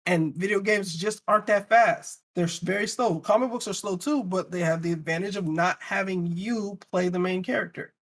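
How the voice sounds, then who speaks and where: Male, slightly high register, casual panel debater, emphatic and argumentative. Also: normal speed, hardly any pauses, a bit bright. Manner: emphatic, argumentative, rhetorical questions. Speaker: podcast panelist.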